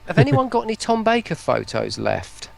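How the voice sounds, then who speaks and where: A man chatting casually as a guest on a livestream, with a hesitant, halting delivery. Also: high-pitched, huge pitch swings, vocal fry. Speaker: guest, voice pack producer.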